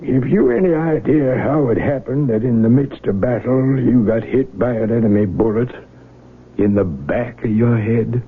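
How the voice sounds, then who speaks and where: A man's voice: medium pitch, moderate pace, lightly acted. An actor in a 1970s radio drama playing a guarded, skeptical male army hospital doctor. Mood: probing. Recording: phone-like lo-fi old radio.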